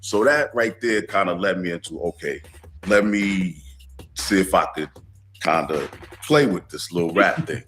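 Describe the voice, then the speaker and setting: A man's voice: sits low, lively intonation, a little slow, fairly bright tone. A man in a documentary interview, reflectively telling how he got into music. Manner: reflective, casual storytelling. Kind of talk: recalled life story.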